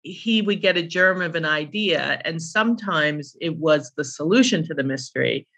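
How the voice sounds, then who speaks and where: A woman's voice: sits low, animated, moderate pace, vocal fry, fairly bright tone, slightly rough. A middle-aged or older woman speaking as an interview guest, reminiscing in an anecdotal, conversational way. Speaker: interview guest, author and publisher.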